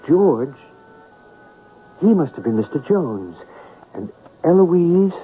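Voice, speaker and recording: An actor in a 1970s radio drama playing a haunted, lovestruck man who speaks in halting, dramatic phrases. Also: medium pitch, a little hiss or hum. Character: haunted man narrating ghostly voices, lovestruck and anguished. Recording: tinny 1970s radio broadcast.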